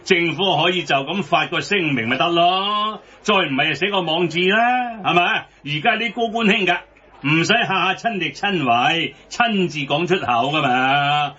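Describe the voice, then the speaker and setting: A man's voice: sits high, normal speed, bright, forceful tone. An actor with a Hong Kong Cantonese accent playing an exasperated, outspoken man scolding about politics in a satirical radio drama. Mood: questioning. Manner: theatrical, scolding. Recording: slightly dull radio broadcast.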